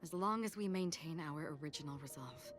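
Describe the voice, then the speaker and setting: A woman voicing a calm, solemn wandering swordswoman in an anime game, composed and wistful. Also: sits low, fairly quick, close to an everyday voice. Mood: wistful resolve. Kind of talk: anime game dialogue, dramatic. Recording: game music behind.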